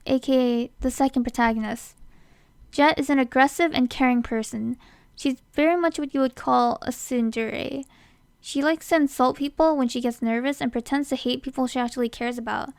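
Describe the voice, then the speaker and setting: Female casting-call host: smooth high voice, scripted explainer delivery, dry close sound. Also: steady tone, normal speed, no room sound. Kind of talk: scripted narration.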